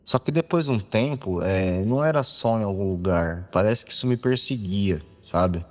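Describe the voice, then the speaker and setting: Low-voiced young Brazilian man in heavy reverb. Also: animated, moderate pace, a little mellow. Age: 20s. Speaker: listener telling his own story. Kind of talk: informal personal storytelling. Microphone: phone. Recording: cleaned-up phone audio, long reverb. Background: music.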